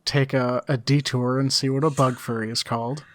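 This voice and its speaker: A man's voice: medium pitch, little pitch movement, moderate pace. A man co-hosting a podcast, chatting casually with dry, understated humour. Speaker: podcast co-host. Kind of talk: casual comedic chat.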